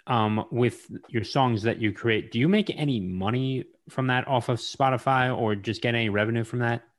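A man hosting a podcast interview, speaking haltingly with many pauses and fillers. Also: mid-range voice. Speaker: podcast host. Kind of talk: casual interview chat.

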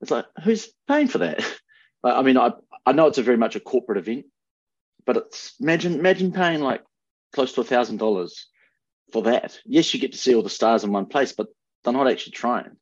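A man's voice: mid-range voice, expressive, a little fast, no echo, dull audio. A man with a New Zealand accent chatting casually about sports as a podcast panelist.

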